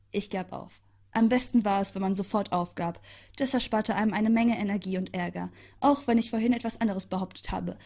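A woman reads a first-person story aloud at a middle pitch. She chats along with sarcastic little asides, like an amateur audiobook. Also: darkish tone. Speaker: narrator.

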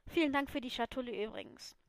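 A woman's voice: on the high side, fast talker, no room sound, close to an everyday voice. A woman voicing a cheerful teenage schoolgirl in a story, a best friend with a confiding, girlish manner.

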